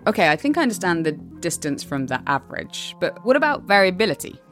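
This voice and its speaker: A woman's voice: lowish voice, very animated, fast talker, fairly bright tone, faint music behind. A woman co-hosting a podcast who frankly asks for plain explanations. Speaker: podcast co-host. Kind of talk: podcast banter and questions.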